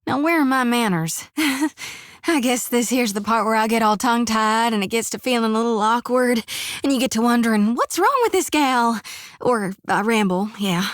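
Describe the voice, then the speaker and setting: An actress voicing a folksy, earnest young priestess of a death god in a video game, with a drawl, rambling and plainspoken. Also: female voice, a little high, expressive, normal speed, no room sound, studio quality, natural voice.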